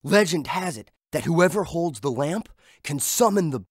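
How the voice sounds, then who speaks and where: An actor playing a good-hearted young street adventurer, the earnest hero of an animated game. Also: male voice, a little high, moderate pace, dry recording, studio quality. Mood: easygoing.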